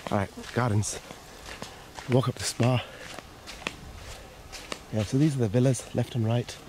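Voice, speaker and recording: A man's voice: medium pitch, fairly even intonation, unhurried. A man from Sri Lanka giving casual, chatty walking-tour commentary outdoors into a handheld camera, often repeating himself. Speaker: vlogger. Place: outdoors on resort garden paths. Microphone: handheld camera microphone, speaker behind camera. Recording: outdoor handheld camera, clear background noise.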